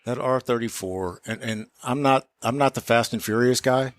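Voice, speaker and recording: A man explaining car work in a casual, matter-of-fact way as an interviewed shop owner, pausing and restarting as he goes. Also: mid-range voice, dry recording.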